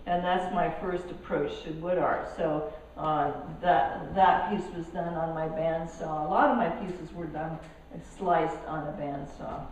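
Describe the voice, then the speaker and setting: A woman from Colombia, over sixty, giving a casual artist talk about her work, halting and restarting as she goes. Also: low voice, steady tone, a little mellow, recorded from a distance. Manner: halting, with many restarts and fillers. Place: gallery hall. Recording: echoey hall, speaker off mic, faint noise.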